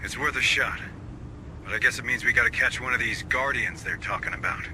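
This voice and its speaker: A gruff, stubborn military commander from a sci-fi game. His delivery is clipped and commanding, with dry sarcasm and a very hard, bright edge. Faint noise sits behind the voice. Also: medium pitch, fairly quick, poor audio. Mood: dry, urgent. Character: gruff, stubborn male commander of space marines. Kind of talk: video game cutscene acting and mission briefing.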